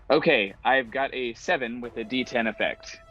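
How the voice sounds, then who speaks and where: A man's voice: medium pitch, measured pace, pushed and bright. A man chatting casually and playfully as a player in a tabletop roleplaying game. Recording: slightly dull lo-fi mic, music behind.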